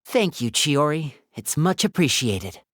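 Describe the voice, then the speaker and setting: An actress playing a reserved young magician's assistant in a video game, deadpan, measured and polite. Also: female voice, sits low, no echo, studio quality, exaggerated voice. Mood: calm, thoughtful.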